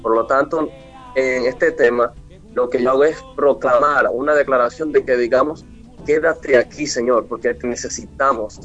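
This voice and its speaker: A man's voice: mid-range voice, fairly even intonation, moderate pace, a bit bright. A Venezuelan man of twenty-one speaking earnestly, with preacher-like declarations, as an interview guest. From Mérida, Venezuela. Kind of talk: radio interview, devotional testimony. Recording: dull lo-fi remote link, music behind. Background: music.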